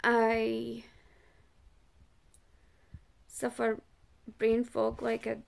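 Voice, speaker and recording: A Scottish woman speaks slowly and haltingly, often breaking off mid-phrase to find the next word. Her voice sits fairly high, with a gravelly, creaky edge, and she addresses the viewer in a personal to-camera talk. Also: completely flat delivery. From Scotland. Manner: halting, searching for words with long pauses. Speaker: awareness vlogger and support group organiser.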